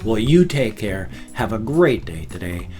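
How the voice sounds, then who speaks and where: A man in his fifties from the United States, chatting casually in a vlog and drawling his thank-yous slowly. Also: on the low side, very animated, darkish tone, faint music behind. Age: 50s. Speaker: vlogger.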